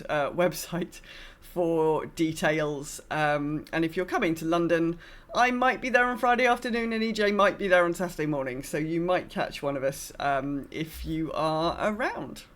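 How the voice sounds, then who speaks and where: Chatty, halting woman podcast host. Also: lowish voice. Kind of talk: casual podcast chat.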